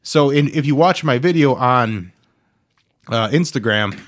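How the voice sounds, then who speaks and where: A man's voice: mid-range voice, lively intonation, measured pace. A man co-hosting a podcast, telling things casually and peppering his talk with "like". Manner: frequent "like" as filler. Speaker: podcast co-host. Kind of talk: casual chat.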